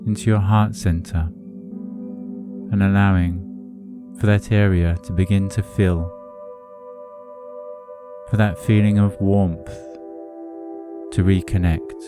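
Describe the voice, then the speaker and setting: Slow, very low-voiced man guiding meditation. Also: steady tone. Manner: soothing, deliberate, measured phrasing. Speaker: guided meditation host. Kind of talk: hypnotic guided meditation narration. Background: music under the voice.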